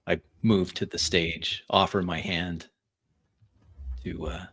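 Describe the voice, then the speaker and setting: A man narrating his character's actions in first person during a tabletop roleplaying game, in deliberate, dramatic phrases. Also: deep voice, level tone, a bit bright, breathy edge.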